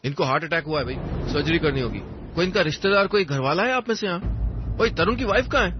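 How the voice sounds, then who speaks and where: A man's voice: on the high side, lively intonation, fast, pitched above the performer's own voice, lightly acted. A man voicing harried hospital staff and bystanders in an audio story, calling out urgently in short bursts. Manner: urgent, barked short calls. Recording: dull lo-fi audio with music.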